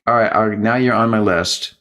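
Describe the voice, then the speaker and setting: A man's voice: lowish voice, a little fast, a bit bright. A man hosting an interview in a casual, jokey way, wandering off on tangents as he chats. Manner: rambling, jokey, tangent-prone. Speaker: interview host and show producer. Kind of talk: casual rambling interview chat.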